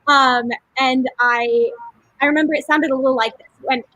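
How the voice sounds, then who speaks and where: A young woman in a cast reunion telling a story in a casual, animated way, with plenty of "like" and "um". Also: sits high, normal speed, no room sound, poor audio. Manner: animated, with many fillers. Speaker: cast member.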